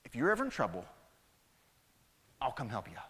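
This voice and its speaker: A man voicing an excitable, eager and friendly little mouse in a children's story, chatty and earnest. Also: mid-range voice, brisk, voice pushed down. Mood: apologetic. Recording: echoey hall with long reverb.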